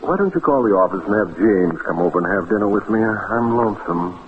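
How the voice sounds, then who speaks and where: An actor playing an urbane middle-aged lawyer and bon vivant, theatrical, pompous and wry, in an old radio drama. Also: male voice, on the low side, animated, fairly quick, husky. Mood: wry, expansive. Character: urbane, grandiloquent bachelor lawyer, worldly, brandy-loving bon vivant. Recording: tinny, noisy old radio recording.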